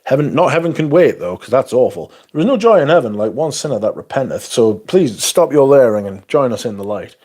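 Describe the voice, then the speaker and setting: Man, livestream host, husky, lively pitch, sarcastic banter. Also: a little fast, hardly any pauses. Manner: sweary, sarcastic, banters with chat. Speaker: livestream host reading chat. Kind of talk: casual livestream chat.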